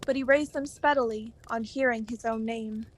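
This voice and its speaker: A woman's voice: on the high side, steady tone, normal speed. A teenage woman narrating a radio play from a script.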